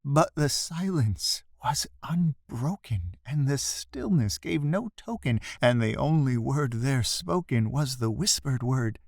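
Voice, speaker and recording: A man's voice: mid-range voice, gravelly, no echo. Theatrical man reciting a classic poem, close and dry. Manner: theatrical, expressive, with drawn-out emphatic phrasing. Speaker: poetry reader.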